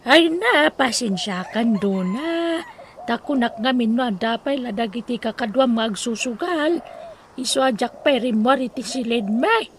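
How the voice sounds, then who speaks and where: A high-pitched, gentle woman of a radio drama, over light background noise. She speaks in a worried, plaintive and conciliatory way, quick to apologize. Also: lively intonation. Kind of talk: radio-style Ilocano drama acting.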